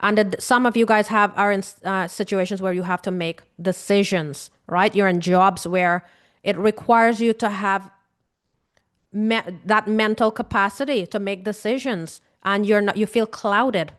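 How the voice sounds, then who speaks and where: A woman from Guyana with a Guyanese accent talks straight to her audience as a healing coach. She is earnest and repetitive, circling back to her points and asking her listeners rhetorical questions. Her pitch sits in the middle of the usual range for a woman. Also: fairly even intonation, a little slow. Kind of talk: casual direct-to-camera talk.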